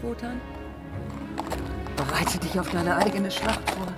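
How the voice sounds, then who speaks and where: An actress playing a cheeky, eager young valkyrie in a fantasy radio drama, spirited and teasing. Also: female voice, mid-range voice, takes it slowly, close to an everyday voice. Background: loud music, horse sounds.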